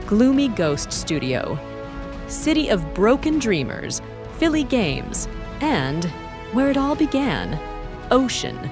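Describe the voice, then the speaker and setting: An announcer reading out lists of award nominees slowly. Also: mid-range voice. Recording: music underneath.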